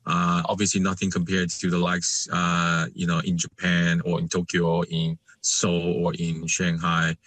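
A man from Malaysia, a guest in a conversational interview, speaking haltingly with many fillers and restarts. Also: low voice, completely flat delivery, a bit bright, dry recording.

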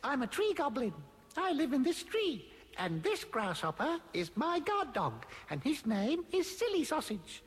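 A man voicing a tiny, friendly tree goblin in a children's story, with a squeaky little voice. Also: wildly swooping pitch, measured pace, heavily acted. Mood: proud, whimsical. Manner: helpful, whimsical. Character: teeny-weeny friendly male tree goblin. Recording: long reverb, music mixed in.

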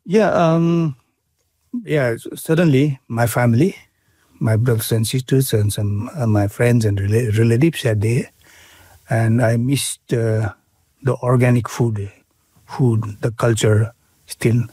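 Bhutanese man, halting and full of fillers. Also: medium pitch, steady tone, warm tone, breathy edge, no room sound. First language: Nepali. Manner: halting, many fillers and restarts. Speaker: interviewee. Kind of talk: casual interview.

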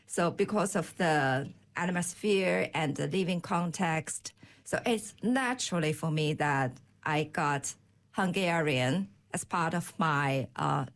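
Slow-speaking Chinese-native woman, hesitant. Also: sits low. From Inner Mongolia, China. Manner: hesitant, many fillers and restarts. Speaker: guest at an open day. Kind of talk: casual conversation.

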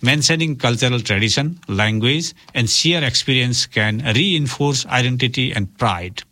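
A man's voice: medium pitch, normal speed, pushed and bright. Earnest, formal man, native Nepali speaker. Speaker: interview guest, community worker and officiant for migrants and refugees.

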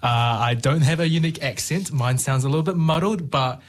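A man's voice: fairly high pitch, a little fast. A young man, a student guest answering interview questions in careful, formal, wordy phrases in a studio. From New Zealand. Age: young adult. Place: radio studio.

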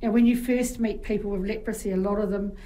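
Mellow-voiced New Zealand woman telling stories. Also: mid-range voice, fairly even intonation, fast talker. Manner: chatty, anecdotal, frequent fillers. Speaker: guest. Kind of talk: casual interview storytelling.

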